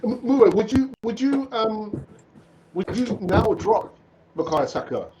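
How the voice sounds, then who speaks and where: A man's voice: high-pitched, expressive, slow. A man co-hosting a casual football discussion, putting questions to the panel. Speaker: show co-host asking questions. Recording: noisy, quiet, clicking background.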